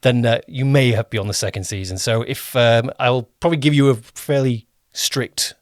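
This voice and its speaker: A man's voice: medium pitch, moderate pace, no room sound, clean studio sound. A man chatting as a podcast host, interviewing a guest in a hesitant, encouraging, informal way. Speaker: podcast host and series producer.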